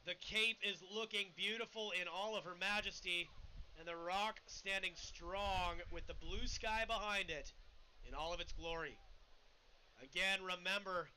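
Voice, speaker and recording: A man with a loud, booming voice giving playful live commentary at a surf contest from an outdoor beach booth, hyping big waves. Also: measured pace, a little hiss or hum, low-quality recording.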